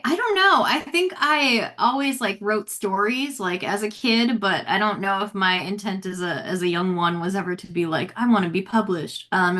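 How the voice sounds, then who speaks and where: A woman's voice: mid-range voice, pushed and bright. An American woman speaking as an interview guest, reflecting casually with lots of "like" and "you know". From United States. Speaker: guest author.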